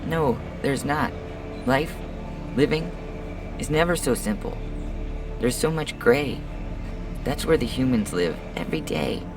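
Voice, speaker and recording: An anxious, sincere robot, acted by a man weighs each phrase with care in a science fiction audio story. The voice is mid-pitched and sits a little away from the microphone, with clear music underneath. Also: lively intonation, unhurried, pauses often, recorded from a distance, natural voice. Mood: earnest, reflective. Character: earnest, anxious humanlike robot with a conscience.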